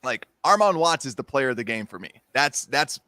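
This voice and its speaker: A man's voice: medium pitch, big pitch swings, brisk, pushed and bright, no room sound. A man who is a guest on a casual sports podcast, agreeing readily and laughing easily as he talks.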